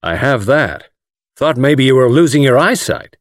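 A man's voice: medium pitch, big pitch swings, a little slow, no echo, clean studio sound, voice pushed up. Man doing a blunt, hearty, teasing male pal. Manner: blunt, jocular. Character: gruff, hearty, teasing male adventurer friend.

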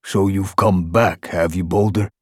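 A man's voice: lowish voice, lively intonation, unhurried, a little mellow, no room sound, clean studio sound, voice pushed up. A man doing a hostile, burly alley-cat guard. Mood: suspicious. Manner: suspicious, demanding.

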